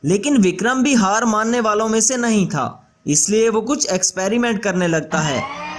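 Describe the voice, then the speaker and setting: A man's voice: high voice, moderate pace. A man reading scripted narration for a children's story as the storyteller.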